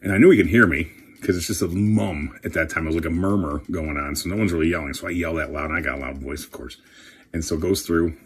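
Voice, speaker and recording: A man chatting casually and excitably while opening trading card packs, swearing now and then. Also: low voice, quick pace, husky. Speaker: career coach and card collector host.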